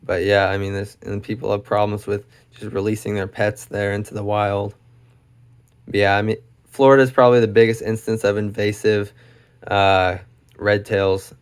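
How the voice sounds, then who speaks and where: A young man hosting an educational video, talking casually and enthusiastically about animals, with lots of fillers, his voice getting hoarse. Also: on the low side, level tone, moderate pace. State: losing his voice. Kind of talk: casual unscripted explainer.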